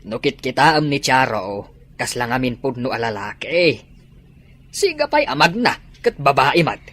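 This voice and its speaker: Very low, rough-edged actress as meddlesome gossiping parent in audio comedy drama. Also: female voice, very animated, moderate pace, a bit bright, full character voice. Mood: gossipy. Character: meddlesome, gossiping parent watching over grown children.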